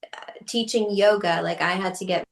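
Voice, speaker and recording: A woman talks easily as a podcast guest, her voice sitting in a middle pitch. She strings her thoughts together with fillers, quick laughs and playful quoted asides. The sound is roomy, with a noticeable echo around her. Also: steady tone, vocal fry. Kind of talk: casual conversational storytelling. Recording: echoey room.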